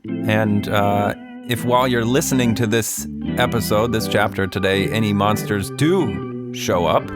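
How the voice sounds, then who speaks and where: Man, fairly low voice, children's storyteller and host, playful delivery, music behind. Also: fairly even intonation, a little slow.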